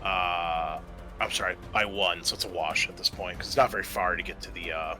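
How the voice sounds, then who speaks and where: A man narrating a tabletop roleplaying game as game master, explaining things in a halting way. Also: deep voice, fairly even intonation, over music.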